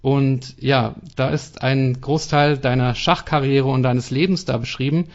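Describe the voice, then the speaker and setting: A man hosting a podcast, asking his guest long, measured questions. His voice sits at a middle pitch, and the recording sounds muffled and lo-fi. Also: steady tone. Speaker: podcast host, interviewer. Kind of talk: interview questions. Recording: dull lo-fi podcast audio.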